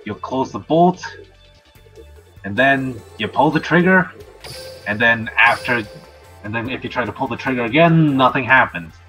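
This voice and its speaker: A man's voice: medium pitch, expressive, moderate pace, long pauses, sharp tone, faint music behind. A man in casual chat on a game stream, talking in a rambling, explanatory way.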